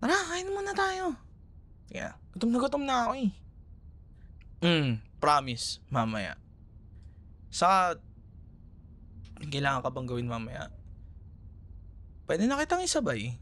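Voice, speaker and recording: A man's voice: on the high side, huge pitch swings, a little slow, long pauses, a bit bright, heavily acted. A man with a Filipino accent acting a friendly, teasing ex-boyfriend in an intimate, casual audio roleplay, sometimes hesitant in his phrasing. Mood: friendly, inviting. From Philippines.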